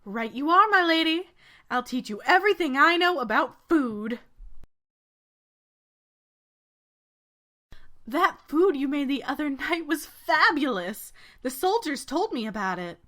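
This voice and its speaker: A woman's voice: high voice, big pitch swings, moderate pace, bright, forceful tone, full character voice. A woman playing a kind, devoted knight and older-sisterly protector, formal and reassuring, in a video game fandub. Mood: encouraging.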